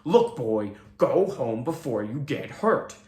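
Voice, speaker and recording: A grumpy cloaked creature with green skin growls in a low, gravelly voice, as a man puts him on. He is blunt and threatening in this storybook reading. The reading sounds roomy. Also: big pitch swings, takes it slowly, dark tone.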